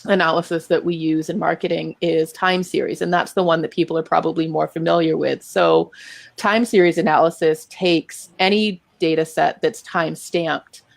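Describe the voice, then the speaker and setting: A woman with a Boston accent who talks through data topics methodically and clearly. Her voice sits fairly low and carries a gravelly edge. She sounds like a guest explaining her field on a conversational show. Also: steady tone, moderate pace, dry recording. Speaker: guest speaker on data analytics.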